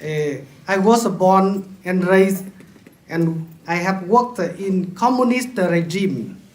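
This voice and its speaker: Slow-speaking Vietnamese priest, high voice. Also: male voice. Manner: slow and deliberate, formal. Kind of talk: prepared conference speech. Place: large hotel conference hall. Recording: echoey hall.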